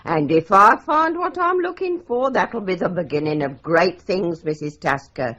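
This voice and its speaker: A woman's voice: fairly low pitch, moderate pace. An actress playing a talkative, stern older landlady in a radio drama, running on with advice. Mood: chatty. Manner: chatty, lively, runs on with advice. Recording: dull lo-fi broadcast recording.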